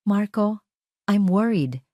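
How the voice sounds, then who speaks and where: A woman's voice: mid-range voice, lively intonation, slow pace, mellow, dry recording, clean studio sound, voice pushed up. A woman playing a haughty, wealthy ex-lover who talks sweetly with false pity, in an audio drama. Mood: feigned concern. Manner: sweet-talking with fake pity.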